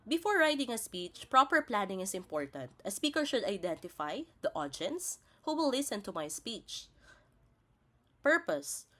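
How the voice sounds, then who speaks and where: A woman's voice: medium pitch, very animated, normal speed, fairly bright tone. A woman presents a scripted lesson as a teacher, spelling out each point in a didactic, step-by-step way. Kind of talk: scripted instructional narration.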